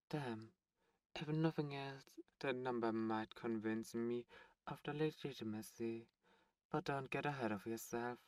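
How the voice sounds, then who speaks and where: A man acts out a suave male demon buyer for an ASMR roleplay. He speaks slowly at a middling pitch, smug and teasing, with deliberate dramatic pauses. The sound is dry, with the voice set a little back from the mic. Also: clean tone, no echo, recorded from a distance, lightly acted. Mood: cool, calculating.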